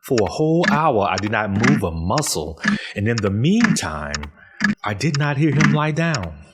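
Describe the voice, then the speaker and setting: A man's voice: medium pitch, big pitch swings, measured pace, heavy background noise. A man playing an obsessive, paranoid murderer in dramatic gothic horror narration, theatrical and emphatic, repeating his words. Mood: hushed suspense. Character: mad, obsessive murderer confessing, paranoid and gleeful.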